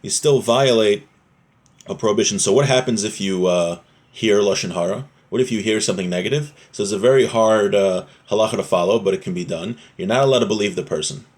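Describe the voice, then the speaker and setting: Male religious teacher, lowish voice, informal text study, explains in plain restatements. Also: brisk. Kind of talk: informal religious text lesson, reading aloud then explaining.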